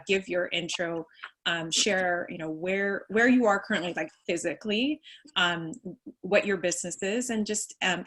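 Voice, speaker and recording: A woman's voice: medium pitch, fairly bright tone, slight background noise. A woman moderating a panel over a video call, talking with many hesitations. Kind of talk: hosting a panel.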